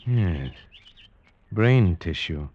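A low-pitched, thoughtful investigator tells the tale in a 1950s radio drama. His delivery is measured and reflective, and the old recording is dull and lo-fi. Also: lively intonation, darkish tone, full character voice. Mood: grave, analytical. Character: thoughtful, observant male investigator and narrator.

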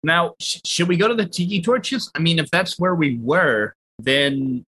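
A man's voice: fairly high pitch, a little slow, sharp tone, husky. A man casually improvising as a player in a tabletop roleplaying game.

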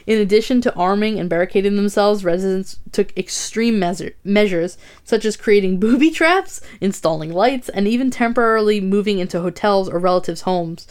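A woman's voice: medium pitch, a little fast, a little mellow, clear, resonant tone. A young woman hosting a podcast, casually reading a true crime story from her notes with swearing asides.

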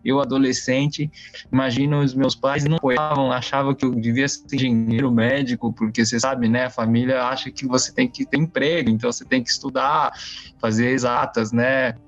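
A man's voice: mid-range voice, flat delivery, fairly quick. A man from Brazil, a guest telling his story in a casual, rambling way that often doubles back. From born in Taiwan, raised in São Paulo, Brazil from age five. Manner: rambling, self-interrupting, seeking agreement. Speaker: interview guest. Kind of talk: casual autobiographical talk. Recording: dull lo-fi sound with music behind. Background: faint music.

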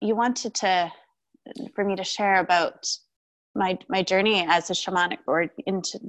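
A woman's voice: medium pitch, fairly even intonation, moderate pace, no echo, low-quality recording. Thoughtful woman in her forties, dry sound. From Taiwan, raised in Vancouver, Canada. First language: Mandarin. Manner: thoughtful, with frequent ums and restarts. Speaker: guest, shamanic practitioner. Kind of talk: casual interview talk.

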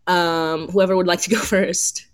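A woman's voice: on the low side, fairly even intonation, unhurried. A woman co-hosting a casual live podcast chat in an informal, hesitant manner. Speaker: podcast co-host.

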